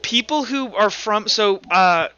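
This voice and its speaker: Bright, higher-voiced man, casual game master. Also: slow pace, muffled recording. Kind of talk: casual tabletop roleplay narration.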